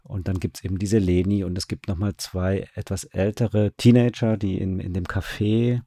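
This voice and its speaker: Male radio drama director as interview guest, low gravelly voice, conversational, halting delivery. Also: little pitch movement, dark tone, no echo, studio quality. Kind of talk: casual interview chat.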